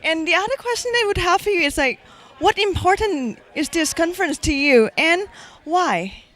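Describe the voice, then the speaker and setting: A woman's voice: extremely high pitch, lively intonation, normal speed, a bit bright. A woman interviewing guests at a conference, asking polite prepared questions.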